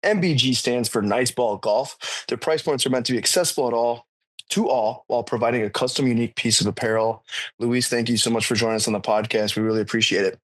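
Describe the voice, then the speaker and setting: A man's voice: medium pitch, quick pace. A man hosting a podcast, reading a friendly, upbeat intro and then asking the guest questions. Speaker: podcast host.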